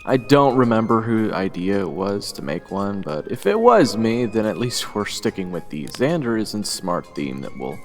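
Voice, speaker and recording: A self-deprecating adventurer, acted by a man, tells a fantasy tale in a lowish, animated voice. He is wry and casual, with long reverb and faint music. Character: self-deprecating young male adventurer, camp cook, narrating. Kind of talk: first-person story narration and drama acting.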